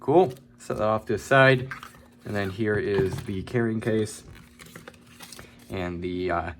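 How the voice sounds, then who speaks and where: A man giving a casual, informal product review, talking in a halting way and hedging his opinions. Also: fairly low pitch, a little hiss or hum. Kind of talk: casual unboxing and review talk.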